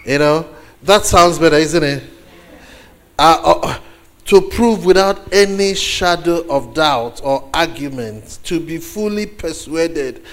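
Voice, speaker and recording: A man with a Nigerian accent, a native Igbo speaker, preaching a sermon to a congregation as a guest, deliberately, with pauses and repeated phrases for emphasis. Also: on the high side, expressive. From eastern Nigeria.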